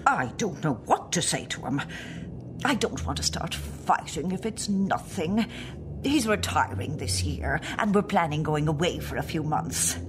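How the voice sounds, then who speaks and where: A woman's voice: deep voice, lively intonation, measured pace, background noise. An Irish woman acting as a nervous, hesitant middle-aged wife in a radio play. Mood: worried. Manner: hesitant, polite.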